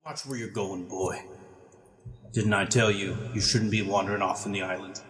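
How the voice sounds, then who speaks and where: A stern head of war, voiced by a man gives gruff commands in an audio drama. His low-set voice rings with room echo, a little off the mic. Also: steady tone, moderate pace, a bit bright, faint music behind, lightly acted. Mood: stern, scolding. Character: stern village head of war scolding youngsters. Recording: roomy echo, distant from the mic.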